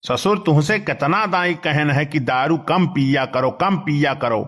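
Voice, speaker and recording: A grumbling uncle from an Awadhi village cartoon, played by a man. His voice is a little high for a male. He talks bluntly and nags. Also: a little slow, a bit bright. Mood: scolding. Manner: blunt, nagging. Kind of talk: cartoon comedy dialogue.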